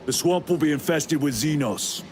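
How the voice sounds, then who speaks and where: Game role: male actor as grave armored veteran officer, clipped formal commands, slightly high for a man, heavy score behind. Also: moderate pace, lightly acted. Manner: terse, formal, authoritative. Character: stern veteran armored super-soldier lieutenant, commanding. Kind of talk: dramatic video game acting. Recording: loud music and battle din behind.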